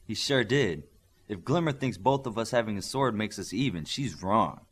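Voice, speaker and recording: A man's voice: fairly low pitch, expressive, moderate pace, husky. A man voicing a dry, playful, sword-trained young superhero girl in an audiobook. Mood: confident.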